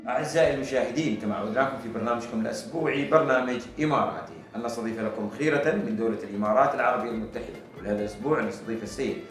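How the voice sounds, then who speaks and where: A man's voice: mid-range voice, racing, few pauses, faint music behind. A man hosting an interview, introducing his guest formally and asking questions. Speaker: interview host. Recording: roomy echo.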